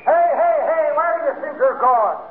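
High-pitched, blustering Irish cop. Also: male voice, moderate pace. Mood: bossy. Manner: barking, blustering orders. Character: gruff, bossy, suspicious Irish street policeman. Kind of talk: 1940s radio comedy acting. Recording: scratchy, tinny 1940s radio recording.

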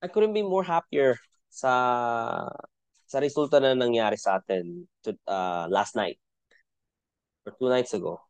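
A man's voice: mid-range voice, expressive, smooth, dry recording. A man with a Filipino accent, whose first language is Tagalog, hosting a solo sports podcast and mixing English and Tagalog with frequent restarts. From Philippines. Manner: switches between English and Tagalog, halting with restarts and fillers. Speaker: podcast host.

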